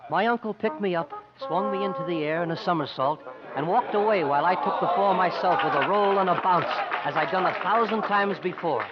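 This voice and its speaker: A man plays a teenage tumbler in a golden-age radio play, telling his tale plainly in a fairly high voice. The sound is dull and dated, with clear music behind it. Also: fairly quick, natural voice. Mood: reflective. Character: sixteen-year-old acrobat boy, looking back as narrator. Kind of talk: 1950s radio drama narration and acting. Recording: dull old radio recording.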